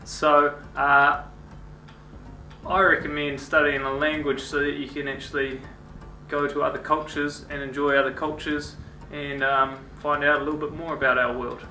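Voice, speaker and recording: A man's voice: fairly high pitch, little pitch movement, moderate pace, sharp tone. A man teacher talking in an enthusiastic, chatty way. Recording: distant echoey mic, faint music.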